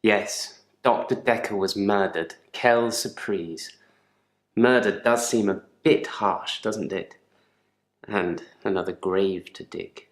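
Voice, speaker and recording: A man's voice: fairly low pitch, slow pace. A man playing a troubled gravedigger in therapy for a video game, speaking in a guarded, dryly sardonic, articulate way. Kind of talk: naturalistic acted monologue in therapy session. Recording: echoey room.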